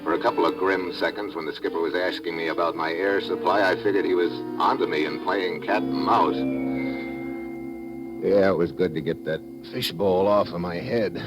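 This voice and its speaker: Very deep-voiced hard-boiled radio adventurer played by a man. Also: steady tone, moderate pace, close to an everyday voice, poor audio. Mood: grim narration. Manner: terse, hard-boiled, colloquial. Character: tough, wary man working undercover as a sailor-diver. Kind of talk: vintage radio drama acting with first-person narration. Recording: vintage radio recording with background music.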